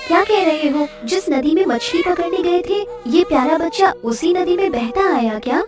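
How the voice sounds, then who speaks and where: A woman voicing a caring but easily worried fisherman's wife who scolds, in an expressive cartoon story style. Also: very high-pitched, moderate pace, recorded from a distance, over music. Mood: surprised, tender. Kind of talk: Hindi cartoon story dialogue.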